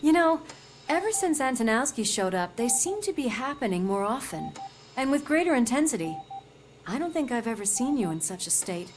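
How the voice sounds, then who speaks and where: A woman's voice: fairly high pitch, big pitch swings, normal speed. A woman playing a loyal, concerned police partner in a video game, speaking earnestly and confidingly. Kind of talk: video game cutscene acting.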